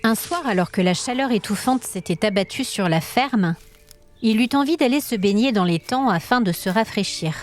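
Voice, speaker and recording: Woman narrating a story over soft music. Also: medium pitch, lively intonation, normal speed. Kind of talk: scripted dramatic narration of a fantasy tale.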